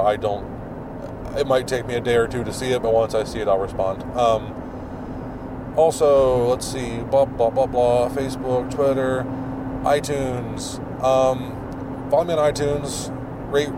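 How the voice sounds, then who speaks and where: A man hosting his own podcast, with a deep, mumbling voice, rambling through plugs with lots of fillers while he drives. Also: steady tone, measured pace. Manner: rambling, filler-heavy, self-deprecating. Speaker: podcast host. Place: in a car while driving. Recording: distant mic with road noise.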